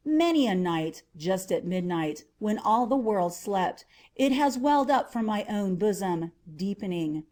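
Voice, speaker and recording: A cunning, unhinged murderer tells a horror story, acted out by a woman reading an audiobook. The voice sits at a medium pitch. The delivery is theatrical and emphatic, drawing out repeated words and insisting on sanity. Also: a little mellow, lightly acted. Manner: theatrical, emphatic, drawn-out repetitions. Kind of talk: dramatic horror audiobook narration.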